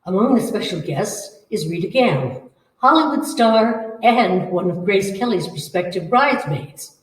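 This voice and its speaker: A woman's voice: mid-range voice, animated, measured pace. A woman reading a scripted old-time radio promotional announcement. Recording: echoey room, distant mic.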